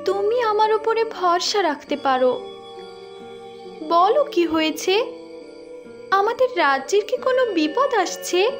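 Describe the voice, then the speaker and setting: A devoted young fairy woman played by a woman speaks tenderly and anxiously in cartoon fairy-tale style. Her voice is extremely high, almost childlike, and slow. Faint music runs behind her gentle, emotive delivery. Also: lively intonation, full character voice. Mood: concerned, tender.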